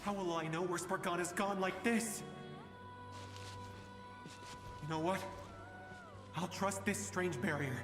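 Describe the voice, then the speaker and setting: A man acting an anxious, weary father searching for his lost daughter in a video game, speaking haltingly and earnestly. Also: high-pitched, fairly even intonation, lightly acted. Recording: echoey, long reverb, game music behind.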